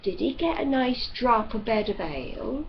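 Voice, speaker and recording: Woman acting a brash Cornish country housekeeper, swooping pitch. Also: animated, moderate pace. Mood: nosy, brash. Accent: West Country. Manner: rustic, loud, complaining. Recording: dull lo-fi audio with light noise.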